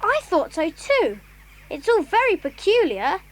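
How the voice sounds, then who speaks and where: A woman's voice: very high-pitched, huge pitch swings, unhurried, exaggerated voice, rough recording. A woman playing a plucky, earnest young schoolboy adventurer in a children's audio drama. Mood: earnest, puzzled.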